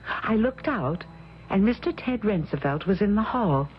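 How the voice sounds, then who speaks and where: A woman's voice: medium pitch, lively intonation, normal speed, slight background noise, close to an everyday voice. A woman acting an uneasy young heroine who narrates a gothic radio mystery with dramatic dread. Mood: uneasy, hesitant. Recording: dull lo-fi radio recording, music behind.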